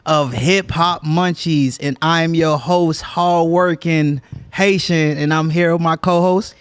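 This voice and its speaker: A man's voice: a little high, fairly even intonation, takes it slowly, clear, resonant tone, dry recording. Laid-back male podcast host, dry sound. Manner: laid-back, friendly questioning, says "man" often. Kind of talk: casual interview hosting.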